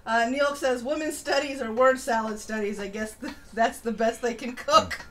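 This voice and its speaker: A woman's voice: a little high, normal speed, a bit bright. A woman co-hosting a casual political live stream, reading aloud and reacting in a mocking, sardonic way. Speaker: live-stream co-host reading an article and chat comments. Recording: faint background noise.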